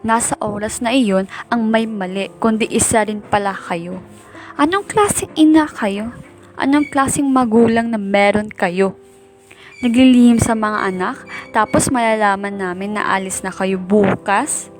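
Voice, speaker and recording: A woman's voice: mid-range voice, unhurried, faint music behind, natural voice. A woman playing a sullen, resentful teenage eldest daughter with a Filipino accent in an amateur radio drama. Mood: bitter, accusing. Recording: background soundtrack under the voice.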